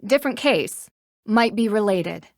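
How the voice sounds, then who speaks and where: An actress playing a curious, polite and persistent young amateur detective in a video game, even-tempered and inquisitive. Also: female voice, mid-range voice, a little slow, no echo, studio quality.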